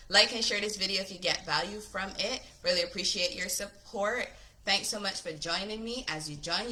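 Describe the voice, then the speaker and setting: A woman's voice: mid-range voice, pushed and bright. A woman from Barbados in her thirties, a business coach, talking to camera in a chatty, upbeat way and often asking "right?". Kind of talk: casual talk to camera.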